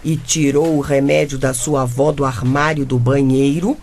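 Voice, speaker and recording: A woman's voice: a bass voice, steady tone, moderate pace, darkish tone. A woman playing an indignant, theatrical mother in a Brazilian radio comedy, with a Brazilian accent. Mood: accusing. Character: indignant, dramatic mother of the family. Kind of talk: comedic radio drama acting.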